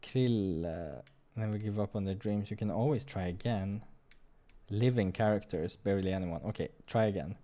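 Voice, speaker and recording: A man's voice: fairly low pitch, moderate pace, dark tone. A man hosting a visual novel playthrough, reading narration dramatically and commenting casually on the game. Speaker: gaming playthrough host.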